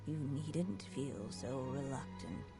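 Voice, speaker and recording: An actress playing a gentle, solemn storyteller mother, a magical echo in a video game, speaking softly and tenderly. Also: a bass voice, lively intonation, music in the background, heavily acted. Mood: resigned, gentle. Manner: soft, tender, measured, sometimes near-whispered. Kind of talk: scripted video game dialogue.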